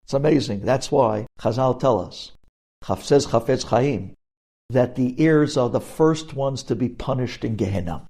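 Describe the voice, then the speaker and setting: A man's voice: mid-range voice, unhurried, vocal fry, a little mellow. A man giving a religious lesson as a rabbi, explaining emphatically and posing questions that he then answers. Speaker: rabbi teaching religious law.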